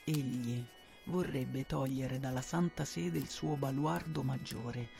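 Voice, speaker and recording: A man's voice: mid-range voice, steady tone, slow pace, gravelly, darkish tone, faint music behind. A man acting a devoted, servile informer who formally and deferentially reads out secret reports to his lord in a radio drama. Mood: grave, wary. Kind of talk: radio drama letter narration.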